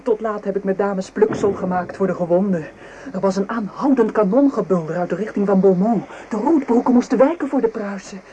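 An actress playing an anxious, devoted French farm servant in a radio play, earnest and hesitant. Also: female voice, medium pitch, brisk, mellow, close to an everyday voice. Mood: earnest, subdued. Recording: dull, noisy old radio drama recording.

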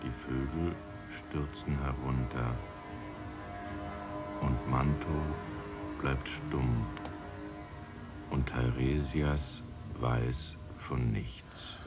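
A man's voice: a bass voice, steady tone, extremely slow, pauses often, loud music behind the voice, heavily acted. A man playing an embittered, misanthropic, half-mad poet in a radio drama, declaiming with theatrical contempt. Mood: bitter, brooding.

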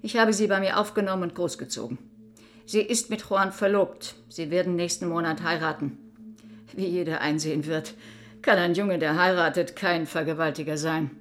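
A woman's voice: lowish voice, normal speed, a little hiss or hum, lightly acted. An actress playing a stubborn middle-aged mother, curt and defensive, in a radio crime drama. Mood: insistent. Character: stubborn, guarded mother defending her grown son.

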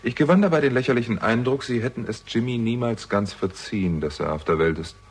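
An actor playing a shrewd, sardonic young man investigating in a German radio crime drama, with a husky voice and dry, ironic delivery. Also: medium pitch, fast, natural voice. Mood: accusing, controlled. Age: young adult.

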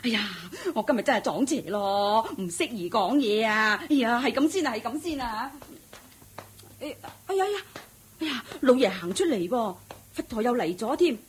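An actress playing a fussy, superstitious middle-aged maid in a Cantonese radio drama, scolding and easily flustered. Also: female voice, fairly high pitch, animated, moderate pace, a little hiss or hum, natural voice.

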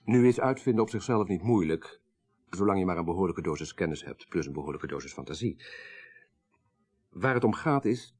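A very low, rough-edged male voice with a Netherlands Dutch accent. He is a learned professor in a radio crime drama, grieving yet dryly ironic, explaining things in precise, lecturing phrases. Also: lively intonation, brisk, darkish tone. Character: grieving, articulate male professor, child of a murdered minister.

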